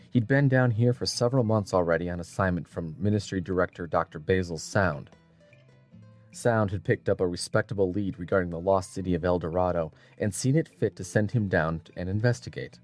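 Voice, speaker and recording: Very deep male storyteller over faint music. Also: a little mellow. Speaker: audiobook narrator. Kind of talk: scripted dramatic story narration.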